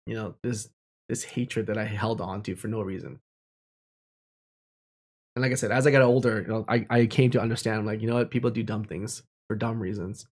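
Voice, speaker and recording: A man's voice: lowish voice, steady tone, brisk, darkish tone. A young man telling personal stories in a casual, candid and reflective way, speaking informally. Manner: candid, informal, with mild swearing.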